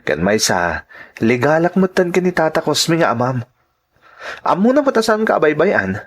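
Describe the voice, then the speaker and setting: A man's voice: on the high side, big pitch swings, moderate pace. A man playing a persistent young boyfriend in an Ilocano radio-style drama, speaking in a casual, cajoling way. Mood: coaxing.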